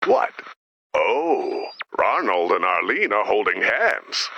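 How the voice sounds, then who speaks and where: A man voicing an anxious, cautious pessimist in a video game, with a raspy voice and a dry, worried manner. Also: lowish voice, sing-song, takes it slowly, no room sound, dull audio, full character voice. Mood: baffled.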